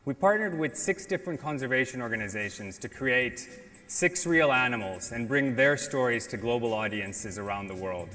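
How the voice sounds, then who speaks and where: Middle-aged male conference speaker, measured delivery, big echoing auditorium. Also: medium pitch, bright, forceful tone. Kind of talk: prepared stage presentation. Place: large indoor auditorium stage. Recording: reverberant hall, stage talk.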